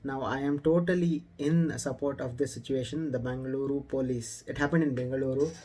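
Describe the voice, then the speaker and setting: A man's voice: medium pitch, dark tone, breathy edge. A young man with an Indian accent talking casually to camera as a motorcycle vlogger, explaining things informally, recorded indoors in a room. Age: young adult. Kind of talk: casual vlog talk to camera.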